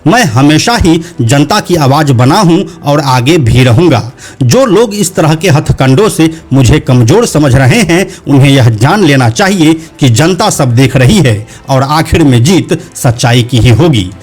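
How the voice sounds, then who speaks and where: A man's voice: a little high, lively intonation, a little slow, a little mellow, husky. A man voicing an arrogant local politician in a narrated explainer, haughty and browbeating. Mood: defensive, formal. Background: background music.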